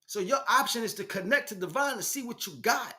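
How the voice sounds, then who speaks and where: A man's voice: high voice, animated, brisk, bright, forceful tone. An American man delivering an informal spiritual monologue as a teacher, speaking emphatically in streetwise slang and addressing listeners directly.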